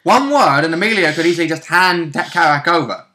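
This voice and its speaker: Man, casual game co-host, bright sharp voice, a little high, interjecting short remarks. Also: animated, fairly quick, a little hiss or hum. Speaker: co-host and player recapping a game. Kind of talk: casual chat.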